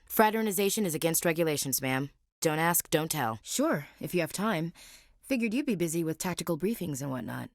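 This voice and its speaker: A woman's voice: deep voice, no echo. A young American woman playing a tough, candid female space marine in a video game, with a frank, dry manner and military politeness. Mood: dry, composed. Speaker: game character, soldier. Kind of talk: naturalistic video game voice acting.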